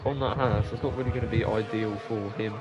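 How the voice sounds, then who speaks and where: A man's voice: on the low side, a little fast, muffled recording. A man giving casual, rambling commentary on an online racing league, talking straight to his listeners and losing his voice. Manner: rambling, talks straight to the listeners, excited at big moments. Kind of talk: casual live race commentary. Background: loud music and car engines.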